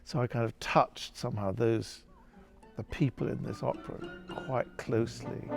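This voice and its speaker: Thoughtful man with a creaky, gravelly voice. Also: medium pitch, fairly even intonation, over music. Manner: thoughtful, halting, searching for words. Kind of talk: reflective interview commentary.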